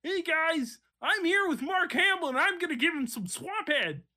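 A chirpy, leering cartoon frog puppet with a high, nasal voice that bounces all over the place, as a young man puts him on for laughs. Words come out slow and stretched. The tone is bright and sharp. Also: very animated, exaggerated voice. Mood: gleeful crude.

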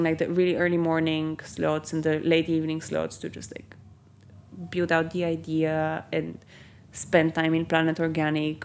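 Low-voiced young woman, chatty founder. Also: a little hiss or hum. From Bulgaria. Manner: chatty, many ums and likes, quick asides. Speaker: company founder. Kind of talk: casual interview.

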